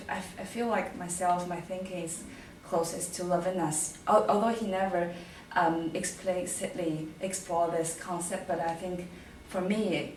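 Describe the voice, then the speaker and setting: A woman from China with a Chinese accent, introducing herself as a PhD student at an informal seminar. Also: lowish voice. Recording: echoey room, distant mic, loud noise.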